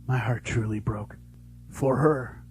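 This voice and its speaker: Slow, solemn sheriff narrator, acted by a man. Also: mid-range voice, steady tone, breathy edge, soft background music. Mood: subdued, sad. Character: sorrowful small-town sheriff narrating a mystery, sympathetic. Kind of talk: dramatic scripted narration.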